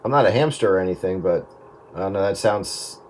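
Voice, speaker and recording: A man chatting casually and a bit haltingly over two-way radio. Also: sits low, animated. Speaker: amateur radio operator. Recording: radio transmission with faint static.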